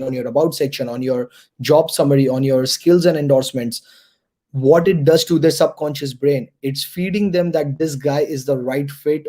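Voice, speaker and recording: A man's voice: medium pitch, warm tone. A man mentoring students through an online career workshop, repeating key points and checking in with the audience.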